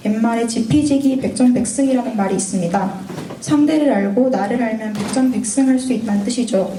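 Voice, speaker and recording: A woman's voice: a little high, mellow, roomy sound, far from the mic, a little hiss or hum, poor audio. A woman in her twenties from North Gyeongsang with a sore throat, giving an earnest, lightly scripted mentoring talk to students. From North Gyeongsang, Korea. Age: 20s.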